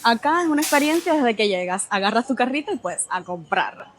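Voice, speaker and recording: A woman's voice: on the high side, big pitch swings, a little fast, a bit bright, a little hiss or hum. A woman with a Cuban accent hosting a vlog, chatting casually and expressively to the camera. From Cuba. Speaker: vlog host.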